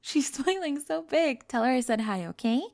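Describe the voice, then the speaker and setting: A woman's voice: high voice, lively intonation, normal speed, creaky, no echo, studio quality. A woman acting a famous young pop star on a date in an ASMR roleplay, confiding and hesitant, kind and playful but world-weary. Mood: sweet, teasing. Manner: confiding, hesitant, playful, trailing into soft murmurs. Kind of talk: intimate ASMR roleplay audio, one-sided date conversation.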